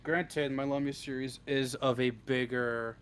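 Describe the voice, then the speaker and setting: A man's voice: medium pitch, steady tone, a little slow, fairly bright tone, a little hiss or hum. A man chatting casually as an interview guest, blunt and informal, swearing freely. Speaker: interview guest, script writer. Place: live online voice stream.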